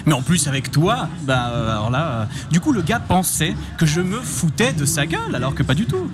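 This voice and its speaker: Brief: thirties Spanish-born comedian, higher lively voice, playful and teasing, loud music underneath. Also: male voice, a little fast, background noise. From Spain. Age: 30s. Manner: playful, animated, self-mocking. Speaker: comedian and offbeat reporter, contest candidate. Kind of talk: live comic chronicle on radio. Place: radio fair stand, live duplex. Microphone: headset with headphones.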